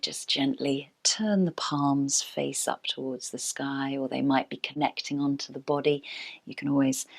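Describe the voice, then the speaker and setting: Low, slow-speaking woman guiding relaxation. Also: vocal fry. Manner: calm, unhurried, gentle pauses. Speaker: restorative yoga teacher. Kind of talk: slow guided relaxation instruction.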